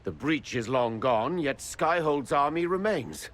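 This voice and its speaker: A man's voice: a little high, animated, unhurried, a bit bright. A man playing a stern but courteous noble envoy in a video game, formal and diplomatic. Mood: firm. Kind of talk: dramatic video game dialogue.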